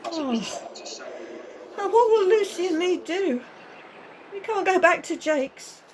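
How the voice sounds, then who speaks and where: Woman acting frightened mum in a radio drama, super high voice, upset and pleading, slight hiss. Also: expressive, slow, heavily acted. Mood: distraught, worried. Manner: pleading, distressed. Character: frightened, pleading young mother. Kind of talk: amateur radio play acting.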